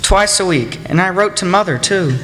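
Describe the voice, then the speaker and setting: A man's voice: high voice, expressive, normal speed, fairly bright tone. A man playing an earnest, proud and devoted young bridegroom in a radio play. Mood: tender, reassuring. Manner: earnest, polite. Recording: reverberant hall, faint noise.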